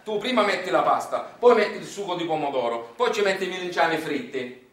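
A man's voice: high-pitched, expressive, brisk, a bit bright. A man with a Sicilian accent delivers a theatrical monologue as a stage storyteller, in a folksy way with dialect words. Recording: very echoey room, distant mic.

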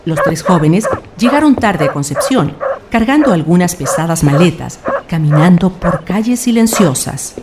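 Radio drama narrator: Chilean woman, slightly low register, noise clearly behind her. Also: lively intonation, moderate pace.

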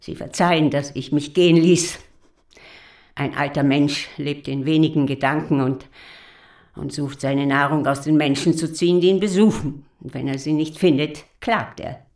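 An actress playing a proud old German countess in a radio drama, gravelly, formal and indignant, grieving for her monarchy. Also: sits low, fairly even intonation, normal speed, darkish tone. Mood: pleading, weary. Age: older.

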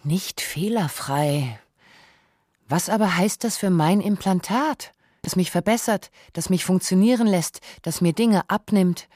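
A woman giving a dramatic reading of a literary radio essay, measured, pensive and full of rhetorical emphasis. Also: fairly low pitch, darkish tone, no echo, clean studio sound. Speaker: radio play performer.

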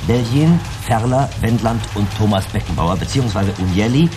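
A man acting as a matter-of-fact executive in a German radio drama, formal and measured in a businesslike way. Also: on the low side, lively intonation, music in the background, lightly acted. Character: matter-of-fact male executive reading out a secret contract.

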